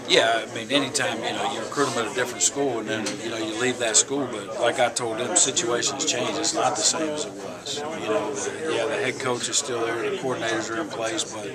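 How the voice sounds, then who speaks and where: A man's voice: medium pitch, brisk, hardly any pauses, over a noisy crowd. A middle-aged man, a college football coach, answering reporters' questions in a small room, talking easily with lots of "you know" fillers. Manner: relaxed, conversational, many "you know" fillers. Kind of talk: press interview answers. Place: small indoor media room. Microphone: several handheld reporter mics held close.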